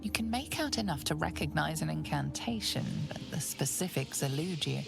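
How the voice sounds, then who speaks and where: A woman playing a measured, mysterious narrator in a fantasy video game, addressing the listener directly. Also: animated, exaggerated voice. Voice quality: low, creaky. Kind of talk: scripted second-person fantasy narration. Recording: game music under the voice.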